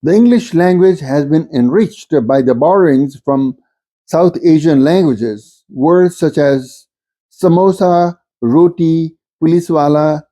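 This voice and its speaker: Male lecturer for educational narration: soft mellow tone, measured and didactic delivery, pitched a little above average. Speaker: lecturer, teacher.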